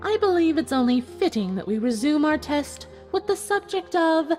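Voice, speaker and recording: A cruel cartoon unicorn villainess, voiced by a woman, speaks in a high voice that rises and falls dramatically. She is smug and taunting, keeping a calm menace, with music faintly behind her. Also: moderate pace. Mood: smug, menacing. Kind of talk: dramatic fan fiction audio reading.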